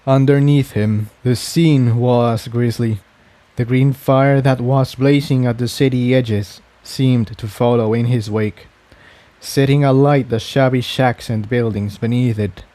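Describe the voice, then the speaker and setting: A man's voice: medium pitch, fairly even intonation, unhurried, dark tone, dry recording. A man reading a scripted adventure story aloud as the narrator. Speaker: fan fiction narrator.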